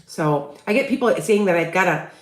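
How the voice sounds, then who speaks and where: A woman's voice: fairly low pitch, lively intonation, normal speed, roomy sound. A woman with a Chicago accent, hosting a casual livestream and talking in loose, rambling sentences full of restarts. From Midwest US. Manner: rambling, many restarts, asks viewers for tips. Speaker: psychic livestream host.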